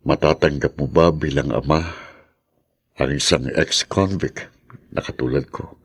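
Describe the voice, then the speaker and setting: A man's voice: a bass voice, unhurried, breathy edge, full character voice. An actor playing a middle-aged ex-convict father in a Filipino radio drama, earnest and remorseful with a hot-headed streak. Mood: tearful, tender.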